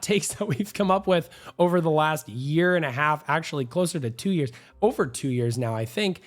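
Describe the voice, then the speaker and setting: A young man hosts a gaming news show, his slightly high voice hoarse and nasal from a cold. He talks in an upbeat, confident, chatty way, and faint music plays under his voice. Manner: upbeat, talkative, self-assured. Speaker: gaming channel host. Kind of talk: energetic gaming news commentary.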